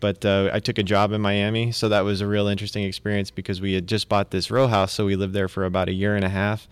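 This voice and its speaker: Chill guy guest, low smooth voice, jokey, dry sound. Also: flat delivery, fast, no echo. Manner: easygoing, joking storyteller. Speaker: guest, optometrist. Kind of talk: casual interview chat.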